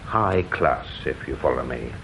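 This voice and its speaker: A man's voice: a bass voice, expressive, a little slow, vocal fry, a bit bright, exaggerated voice. An actor playing a deferential, doubtful male police sergeant in a radio drama, speaking respectfully and hesitantly. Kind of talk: BBC radio detective drama acting. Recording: dull, slightly noisy radio recording.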